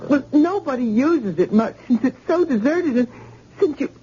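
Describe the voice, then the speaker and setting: A woman plays a scared young wife in a vintage radio play, high pleading voice, mellow tone, dull old recording. the sound is muffled with a faint hiss behind it. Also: lively intonation, normal speed. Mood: anxious. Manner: anxious, pleading, tearful. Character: frightened young wife of injured plumber. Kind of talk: old-time radio drama acting.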